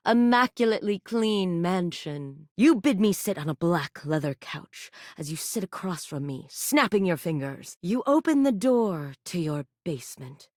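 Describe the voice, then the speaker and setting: A voice actress playing a flirty, theatrical woman who teases in a slow, sultry drawl. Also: lowish voice, lively intonation, no room sound, studio quality. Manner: sultry and playful, slow drawn-out delivery. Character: flirty, theatrical adult woman, bold and teasing. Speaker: voice actor. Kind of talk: video game character voice lines. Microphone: close studio mic.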